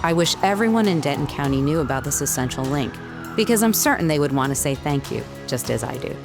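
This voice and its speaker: A woman delivering a promotional testimonial in measured, formal sentences. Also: low-pitched, lively intonation. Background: background music.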